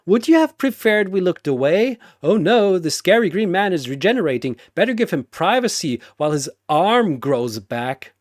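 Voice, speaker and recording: A man voicing a feisty, indignant teenage girl fighter with an animated, defensive delivery in a battle story. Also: sits high, normal speed, hardly any pauses, no room sound, clean studio sound, pitched above the performer's own voice.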